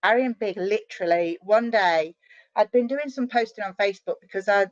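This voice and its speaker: A middle-aged woman from the UK chatting as an interview guest, animated and full of quick restarts and fillers. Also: mid-range voice, no echo, highs cut off. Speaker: guest, online marketer. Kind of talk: casual interview chat. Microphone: video call.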